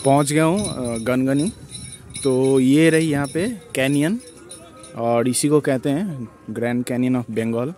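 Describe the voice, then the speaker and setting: A man narrates a casual travel vlog, explaining things with halting pauses. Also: mid-range voice, a little mellow, faint music behind. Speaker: travel vlogger. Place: outdoors at a tourist site and inside a car.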